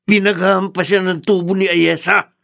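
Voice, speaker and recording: Gravelly, high-pitched indignant old grandfather. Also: flat delivery, normal speed, fairly bright tone, dry recording. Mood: indignant, scolding. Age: older. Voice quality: gravelly, husky. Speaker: radio drama actor. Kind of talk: Tagalog radio drama acting.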